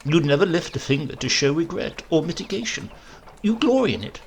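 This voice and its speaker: A man's voice: fairly high pitch, sing-song, a little fast, gravelly, a little hiss or hum, voice pushed up. A man voicing a prim, earnest young parson who talks in a fussy, indignant, preachy way.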